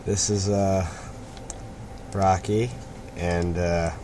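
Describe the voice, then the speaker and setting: A man's voice: sits low, level tone, drawn-out words, dark tone. A man reading a story aloud in an informal amateur way, sometimes stumbling over words and repeating them. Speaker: fan fiction reader and host. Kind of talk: amateur read-aloud of a story with casual asides. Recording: noisy amateur home recording.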